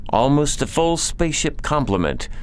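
A man's voice: a little high, big pitch swings, normal speed, a little hiss or hum. A man playing a sober informant who delivers factual reports in a science-fiction audio drama, in a matter-of-fact way.

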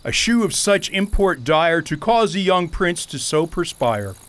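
A man's voice: fairly high pitch, sing-song, unhurried, a bit bright, no room sound. A man playing a pompous, foppish goat king in a radio play, with florid, theatrical, wordy speech. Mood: pompous, dismissive.

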